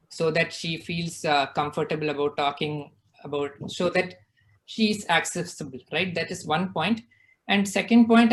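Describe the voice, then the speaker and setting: A man's voice: a little high, fairly bright tone. A man, earnestly explaining his views on parenting in a casual online discussion and often checking in with "right?". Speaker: guest speaker. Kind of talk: casual discussion on a video call.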